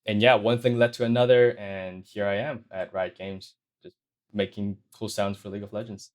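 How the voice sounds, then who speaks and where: A young man who grew up in China, talking about his career as a guest on a podcast in a measured, thoughtful way. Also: fairly low pitch, steady tone. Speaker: guest, sound designer. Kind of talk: interview answers.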